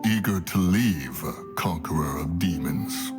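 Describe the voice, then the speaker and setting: An actor playing a stern, wary ancient immortal beast in a video game, grave and formal in speech. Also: male voice, low voice, takes it slowly. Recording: faint background music under the voice.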